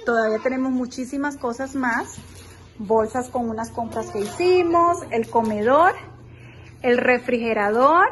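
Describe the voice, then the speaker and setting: A woman's voice: a little high, measured pace, fairly bright tone, slight background noise. A woman vlogger giving a chatty, enthusiastic house tour of a beach rental, filming with her phone as she walks. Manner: chatty, enthusiastic, addresses viewers as girls. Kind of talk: casual house-tour vlog. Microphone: phone held while walking.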